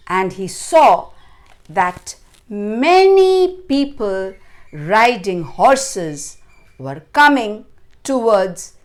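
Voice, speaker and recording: Lady reading a kids story, super slow and dramatic, voice swoops up and down a lot. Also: medium pitch. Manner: deliberate, dramatic phrasing with stressed words. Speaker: children's storyteller. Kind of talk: expressive storytelling for children.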